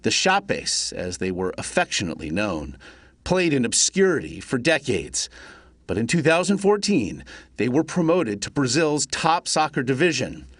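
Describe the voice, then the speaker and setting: A man narrating a scripted television news report in a measured, solemn way. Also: mid-range voice, very animated.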